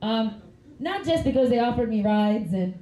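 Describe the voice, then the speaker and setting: A woman tells stand-up stories in a rambling way that keeps breaking into giggles. Her voice sits a bit high with a soft, mellow tone. The stage mic picks up an echoey club room around her. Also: animated, normal speed. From Finland. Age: 30s. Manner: chatty, giggly, rambling asides. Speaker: stand-up comedian. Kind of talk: stand-up comedy set.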